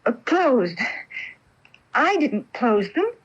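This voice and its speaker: Impressionable young woman: earnest, hesitant, easily flustered, higher-pitched. Radio mystery, dull broadcast sound. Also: very animated, very slow, slightly rough, full character voice. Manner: hesitant, breaking off phrases. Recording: dull off-air AM radio recording.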